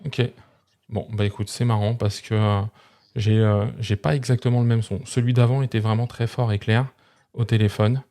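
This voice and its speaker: A man's voice: fairly low pitch, level tone, moderate pace, dark tone. A man hosting a tech review, explaining gear casually and conversationally while speaking straight to his viewers. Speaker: host of a tech review channel. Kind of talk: casual product demonstration to camera.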